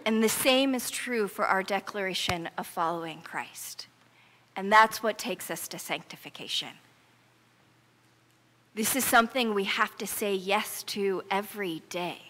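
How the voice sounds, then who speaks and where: A woman's voice: medium pitch, unhurried, sharp tone. A middle-aged American woman pastor preaching a sermon in an emphatic, earnest, conversational storytelling manner. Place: church sanctuary, preaching before a congregation. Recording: reverberant church hall.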